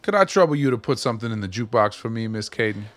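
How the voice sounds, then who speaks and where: A man playing a dapper, genial old fixer in a radio play, with courtly, folksy, unhurried phrasing. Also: medium pitch, animated, fairly bright tone, close to an everyday voice. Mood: easygoing, wry. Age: older.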